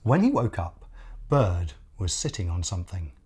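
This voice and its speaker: A man reading a picture book aloud as an expressive children's storyteller, deliberate, with dramatic pauses. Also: low-pitched, breathy edge.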